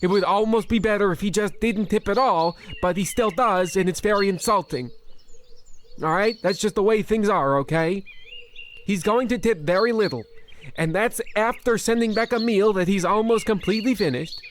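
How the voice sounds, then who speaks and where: A man's voice: high-pitched, moderate pace, slight background noise, lightly acted. A man voicing a nervous, rambling male expedition cook who insists on repeating his warnings in a comedic radio play. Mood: exasperated.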